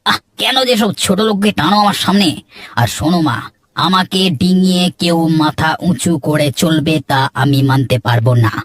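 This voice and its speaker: A man's voice: a little high, moderate pace, fairly bright tone, close to an everyday voice. A man playing an arrogant, jealous village troublemaker in a cartoon, brash, defensive and scornful. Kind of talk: Bengali moral-story cartoon voice acting.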